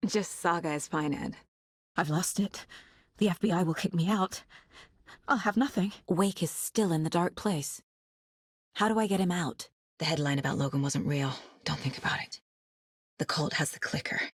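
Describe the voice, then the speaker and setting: A determined, level-headed female federal agent in a horror game. Her voice is husky and on the low side, recorded close and dry. She sounds serious and focused, as if thinking through a case. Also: normal speed, pauses often, no echo. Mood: despairing, then hushed, tense. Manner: focused, serious, often musing to herself. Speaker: video game voice actress. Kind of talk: dramatic video game voice lines.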